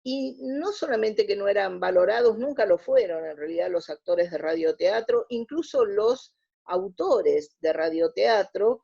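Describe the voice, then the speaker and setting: A woman's voice: mid-range voice, creaky, mellow, breathy edge, highs cut off. An Argentine woman answering as an interview guest in a conversational, explanatory way, often rephrasing mid-sentence. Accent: Argentine Spanish. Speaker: interview guest, radio drama researcher.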